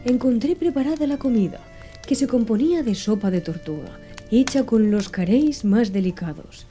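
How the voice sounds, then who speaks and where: A woman reads a classic novel aloud in a gentle, unhurried ASMR narration. Her voice sits a little high yet sounds very mellow and dark in tone, with faint music underneath. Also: expressive. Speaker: audiobook narrator. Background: faint background music.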